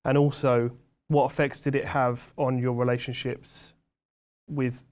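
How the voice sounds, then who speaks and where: A man's voice: mid-range voice, little pitch movement, a little slow, a little mellow. A young man co-hosting a podcast, reflective and asking probing questions in casual chat. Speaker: podcast co-host.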